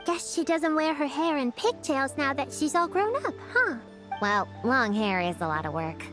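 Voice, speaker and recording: A tiny, excitable childlike game companion. The voice is extremely high and bouncy, chatty and exclamatory in a cartoonish way, with music clearly behind it. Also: female voice, moderate pace. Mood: curious, chatty. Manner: perky, casual, exclamatory. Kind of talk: cartoonish video game voice acting.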